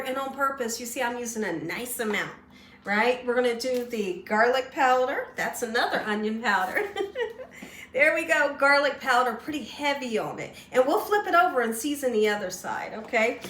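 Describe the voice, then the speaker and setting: A slightly hoarse woman with a fairly high voice walks viewers through a recipe in a chatty, folksy way, often checking in with "right?". She sounds a little away from the mic in an echoey kitchen, with faint background hiss. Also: normal speed, fairly bright tone, far from the mic. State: slightly hoarse, losing her voice. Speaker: cooking show host. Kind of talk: casual cooking demonstration. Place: home kitchen.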